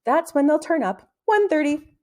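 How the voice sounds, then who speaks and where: A mild-mannered male schoolteacher from a storybook, put on by a woman. He sounds puzzled and hesitant, in a high, wondering voice with lots of rise and fall. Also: lively intonation, normal speed, darkish tone. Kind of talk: children's storybook read-aloud.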